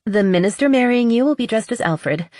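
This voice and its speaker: A woman voicing a tired, businesslike young female wedding planner in an audiobook, brisk and organized. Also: a little high, expressive, a little mellow, dry recording, studio quality, pitched above the performer's own voice, close to an everyday voice.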